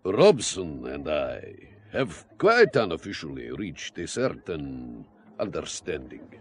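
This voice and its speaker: An actor playing a courtly, philosophical Russian intelligence officer in an audio drama, with a deliberate, florid manner. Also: male voice, extremely low pitch, huge pitch swings, a little hiss or hum, exaggerated voice. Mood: grave, confiding. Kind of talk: spy audio drama acting.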